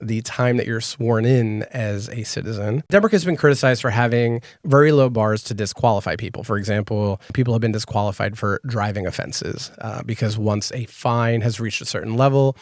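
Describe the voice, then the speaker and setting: A man hosting a podcast, explaining clearly in a measured, scripted way and interviewing a guest. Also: lowish voice, no room sound, clean studio sound. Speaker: podcast host.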